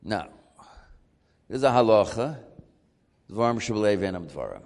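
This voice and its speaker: A man teaching a Talmud class, lively and questioning as he works through the argument. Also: lowish voice, normal speed, long reverb tail. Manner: animated, questioning, mixing Hebrew terms.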